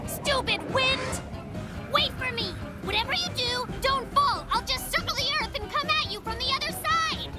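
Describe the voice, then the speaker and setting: A woman's voice: very high voice, normal speed. A woman voicing a boastful, adventurous little male brown bear cub inventor in a children's cartoon, showy and exclamatory. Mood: frantic heroic. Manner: exclamatory, showy, self-assured. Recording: music behind the voice.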